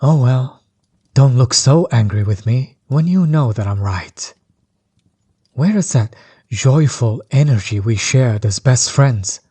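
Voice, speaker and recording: A man playing a menacing, bitter sorcerer overlord in an audio roleplay, breathy and husky, measured and condescending, often sinking toward a whisper. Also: mid-range voice, expressive, warm tone. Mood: bitter, mocking. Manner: measured, condescending, coldly taunting. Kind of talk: scripted villain monologue, audio roleplay.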